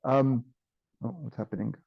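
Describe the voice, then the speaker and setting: A man teaching an online class with a tired, strained voice, often checking in with "right?" and filling pauses with um. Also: mid-range voice, steady tone, gravelly, husky, no echo, highs cut off. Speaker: instructor.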